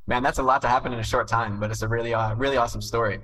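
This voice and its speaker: Host guy talking super fast, sounds far from the mic, bit of background hiss. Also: mid-range voice, steady tone, a bit bright. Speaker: podcast host. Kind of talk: interviewing a guest. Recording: distant mic with faint background noise.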